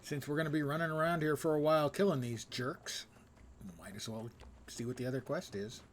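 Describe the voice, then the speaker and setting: A man's voice: a little high, fairly quick, a little hiss or hum. A man doing casual live commentary on a video game, musing in a dry, wry, pedantic way. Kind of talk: casual solo gameplay commentary.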